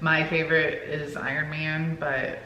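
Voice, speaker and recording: A woman in a casual, informal film chat, quick to agree and react. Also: low voice, level tone, sharp tone, lo-fi sound. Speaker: co-host discussing a movie. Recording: roomy echo, faint background noise.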